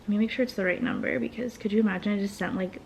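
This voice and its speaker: A young woman with a drawl chats to camera at home, thinking aloud as she plans her day. Her voice sits at an ordinary middle pitch, and she rambles easily from one task to the next in a relaxed, unscripted vlog manner. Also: racing, vocal fry, slight background noise. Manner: chatty, rambling, thinks out loud. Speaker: vlogger and small business owner. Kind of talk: casual vlog chat.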